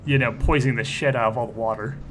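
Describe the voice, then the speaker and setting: A man's voice: mid-range voice, fairly even intonation, racing, a bit bright, a little hiss or hum. A man chatting casually as he improvises in a tabletop roleplaying game, in a rambling, colloquial way.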